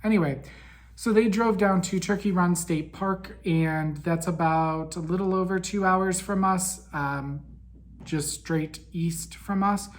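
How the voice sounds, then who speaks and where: A man hosting a casual monthly podcast recap, raspy and weak in breath from a head cold, chatty with frequent ums. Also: high-pitched, steady tone, measured pace. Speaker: podcast host.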